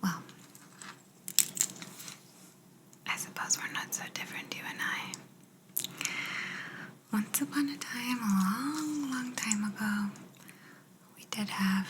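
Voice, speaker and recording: A woman voicing a calm, politely superior alien examiner in an ASMR sci-fi roleplay, in a soft breathy whisper with a gentle, musing manner. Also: mid-range voice, close to an everyday voice. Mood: musing, faintly superior. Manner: slow, gentle, hesitant musing. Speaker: ASMR performer.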